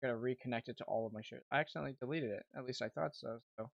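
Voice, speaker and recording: A man's voice: mid-range voice, very fast, vocal fry, dry recording, lo-fi sound. A young man giving casual, informal live trading commentary as a livestream host, thinking aloud as he goes. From Canada. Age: young adult. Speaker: livestream host, amateur day trader.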